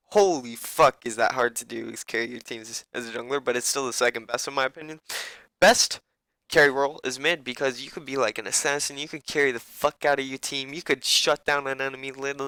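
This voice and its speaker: Young man, raspy and bright-toned, casual profane game coach, dry close mic. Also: medium pitch, dry recording. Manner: loose, profane, rambling.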